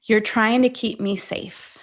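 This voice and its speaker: A woman leading a guided affirmation meditation, speaking deliberately in short phrases with long pauses between them. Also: on the high side, steady tone. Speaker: guide of a self-affirmation tapping session.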